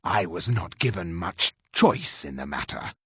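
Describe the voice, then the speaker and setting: An actor playing a stern, sardonic revenant warrior in a fantasy game, raspy, with stiff and formal speech. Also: male voice, low voice, expressive, measured pace, bright, forceful tone, no echo, clean studio sound, full character voice. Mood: stern, terse. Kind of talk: fantasy game character acting.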